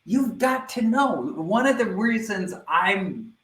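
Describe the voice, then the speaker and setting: A man giving a live online sales training, energetic and speaking directly to viewers, often checking in with "right?". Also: sits high, slow pace, reverberant.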